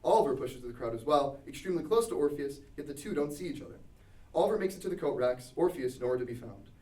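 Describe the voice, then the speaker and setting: A man's voice: on the high side, expressive, quick pace, mellow. A man reading scripted stage directions as narrator at a table read. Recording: echoey room, distant mic.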